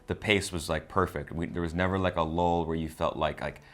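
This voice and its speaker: A man hosts a film review in a loose, conversational style. His voice sits low and he moves along at a brisk pace. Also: steady tone, a bit bright. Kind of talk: casual chat.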